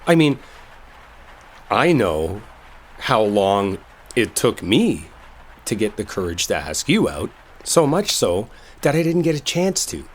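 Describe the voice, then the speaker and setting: A man's voice: lowish voice, very animated, slow, pauses often, slight background noise. A man playing an exasperated, defensive coworker who is secretly smitten, in an emotional roleplay audio drama. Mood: confessing, pleading. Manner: emotional, pleading, emphatic, conversational. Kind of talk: roleplay audio drama, intimate one-sided dialogue.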